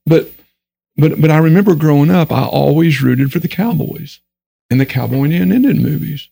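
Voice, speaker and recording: A Texan man speaks earnestly on a panel, his delivery emphatic. His voice is heavily gravelly and husky, and sits a little high for a man. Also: normal speed, dark tone, dry recording. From Texas. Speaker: panel guest. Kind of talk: candid discussion panel talk.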